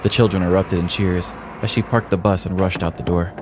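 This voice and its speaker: Very deep-voiced American man narrating. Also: steady tone, brisk, noisy background. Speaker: podcast host telling a story. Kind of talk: scripted comedic narration.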